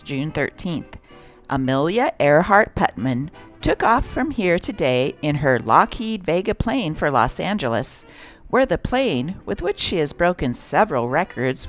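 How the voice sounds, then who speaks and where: A woman reading a script aloud as host and narrator, with a theatrical delivery. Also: on the low side.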